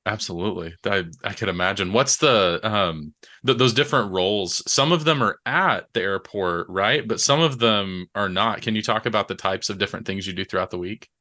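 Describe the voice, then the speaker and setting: A man's voice: lowish voice, fairly quick, bright, forceful tone. A man hosting a casual conversational interview, asking questions in an encouraging, affirming way. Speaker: interview host.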